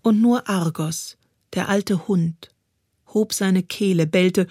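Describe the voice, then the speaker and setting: A woman reciting poetry dramatically, with expressive, deliberate phrasing. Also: medium pitch, dry recording. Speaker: poetry reader. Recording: dry studio recording over music. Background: music.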